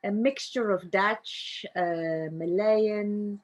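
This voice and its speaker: A middle-aged woman from South Africa with a South African accent, a native Afrikaans speaker, talking reflectively as an interview guest and often pausing on fillers. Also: mid-range voice. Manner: thoughtful, with frequent fillers and soft laughs. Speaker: guest, narrative coach.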